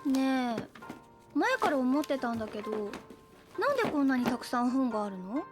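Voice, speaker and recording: A woman's voice: high-pitched, expressive, brisk, over music. An actress playing a carefree, teasing young female college student in a Japanese anime audio drama, talking breezily. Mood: frank, teasing. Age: young adult. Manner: breezy, casual, playful.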